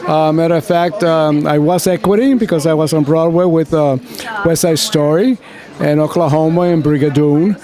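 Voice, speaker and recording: A man's voice: on the high side, fairly even intonation, normal speed, mellow. An older man from Cuba, a performer, talking playfully at a crowded indoor event while getting over a cold. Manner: playful, sings a cappella when asked. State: recovering from a cold. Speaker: guest performer. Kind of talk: casual event interview. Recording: crowd chatter behind.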